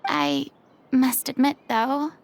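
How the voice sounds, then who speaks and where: An actress voicing a proud, eloquent young retired performer in a video game, with grandiose, formal phrasing. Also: female voice, sits high, sing-song, slow pace, slight background noise, heavily acted. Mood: proud, wistful.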